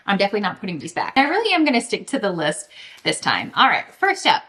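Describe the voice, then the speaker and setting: A woman chatting casually and emphatically to viewers as a book vlogger, with animated, informal delivery and a self-deprecating sense of humour. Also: mid-range voice, quick pace, a little hiss or hum. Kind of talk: casual chatty vlog to camera.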